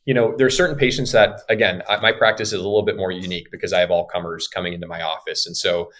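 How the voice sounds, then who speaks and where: A man's voice: low voice, steady tone, quick pace, fairly bright tone. A man in his thirties, an ENT surgeon, explaining patient cases in a casual podcast interview.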